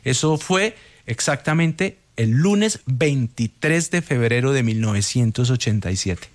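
Male radio comedy panelist, Colombian accent, medium pitch, casual anecdotal chat. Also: animated, a little slow.

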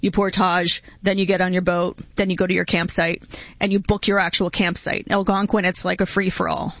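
A woman's voice: on the low side, little pitch movement, brisk, fairly bright tone, no room sound, dull audio. A middle-aged woman from Canada hosting a casual radio chat, talkative and laughing often between stories. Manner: chatty, laughs often, many fillers. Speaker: radio host.

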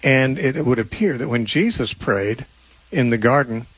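An older American man in his seventies speaks as a radio host and teacher. His voice is husky and recorded dry, with no room sound. He explains things casually and conversationally, with a self-deprecating touch. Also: mid-range voice, expressive, moderate pace, no room sound. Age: 70s. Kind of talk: casual live call-in radio talk.

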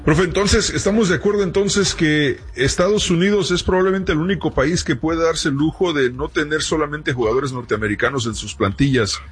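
Male radio host, husky voice, fairly high pitch, conversational, deferential, wordy. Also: brisk, few pauses. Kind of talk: conversational sports radio interview.

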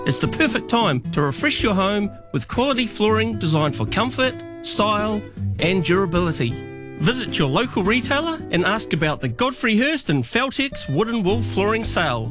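A man's voice: big pitch swings, normal speed, over music. A male voice-over giving an upbeat, friendly flooring advertisement. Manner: upbeat, friendly sales pitch.

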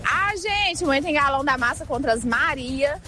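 A woman from Espírito Santo gushes through her voice message, exclaiming and heaping affection on the host. Her voice sits high. Faint music plays beneath. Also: animated, moderate pace, sharp tone. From Espírito Santo, Brazil. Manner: effusive, exclamatory, showers the host with love. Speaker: listener sending a voice message.

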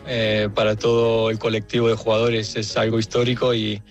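A man's voice: on the low side, completely flat delivery, normal speed, highs cut off, faint music behind. A man with a Castilian Spanish accent, a footballer giving casual interview answers.

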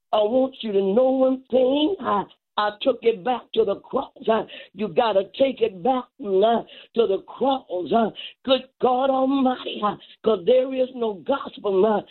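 Slow, high-voiced woman preaching fervently. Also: narrow, tinny audio. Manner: rhythmic short phrases with repeated exclamations of praise and punctuating grunts.

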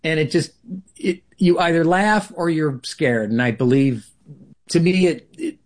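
A man's voice: fairly high pitch, measured pace. A man from upstate New York, a guest improviser, telling stories in a casual radio interview with many restarts and self-corrections. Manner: rambling, self-correcting storyteller.

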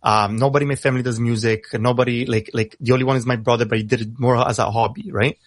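A young man who grew up in Italy, with Italian as his first language, talking casually over a video call in a halting way with many fillers. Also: medium pitch, steady tone, no room sound. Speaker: rapper guest.

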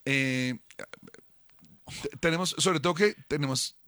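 A man's voice: on the high side, takes it slowly, dry recording, studio quality. A middle-aged man with a Colombian accent, a singer telling stories in a casual interview, emotional and full of slang and swearing. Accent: Colombian Spanish. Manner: animated, emotional, swears freely, acts out quotes. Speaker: singer and band member.

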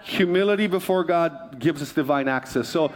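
A man's voice: fairly high pitch, moderate pace. A man from Hawaii preaching a sermon as a pastor, emphatic, repeating phrases and prompting his listeners. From Hawaii, United States.

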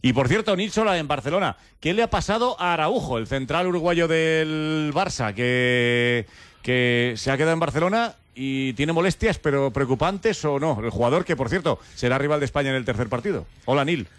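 A man's voice: a little high, lively intonation, normal speed, a bit bright, no room sound. A man with an accent from Spain hosting a sports radio show, chatting informally with a panel and handing over to reporters. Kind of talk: lively panel chat and handovers.

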